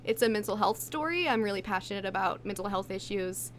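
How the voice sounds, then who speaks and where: A woman's voice: medium pitch, lively intonation, a little fast, fairly bright tone, a little hiss or hum. A woman, an actress being interviewed, speaking in an informal, conversational way. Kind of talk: casual interview chat.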